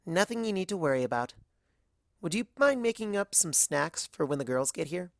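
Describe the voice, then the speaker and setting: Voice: mid-range voice, lively intonation, normal speed, vocal fry, no echo, clean studio sound, voice pushed up. A narrator voicing an anxious, brainy young female pony who talks in wordy, awkward bursts. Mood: coaxing.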